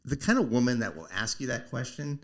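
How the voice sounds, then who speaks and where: A man in his forties co-hosting a casual podcast chat, asking quick questions and telling joking stories. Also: mid-range voice, sing-song, fairly bright tone. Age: 40s. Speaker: podcast co-host.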